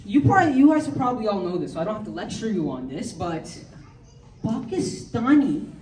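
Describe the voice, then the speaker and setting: A woman's voice: mid-range voice, very animated, a little fast, a little mellow, slight background noise. A teenage American girl performing a rambling, giggly amateur stand-up set with many likes and ums. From United States. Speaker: stand-up comedian. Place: large hall with audience. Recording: echoey hall, speaker far from mic, crowd behind.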